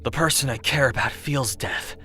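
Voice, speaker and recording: A man's voice: mid-range voice, moderate pace, pushed and bright, soft background music, close to an everyday voice. A man playing a brooding, guarded, serious death god in an audio drama, speaking in a terse, dry, earnest way. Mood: grim, resolute. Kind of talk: audio drama character acting.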